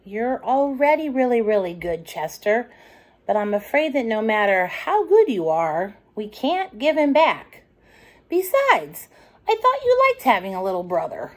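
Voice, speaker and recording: A woman voicing a tender, patient mother raccoon in a children's storybook, gentle and reassuring. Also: on the high side, very animated, a little slow.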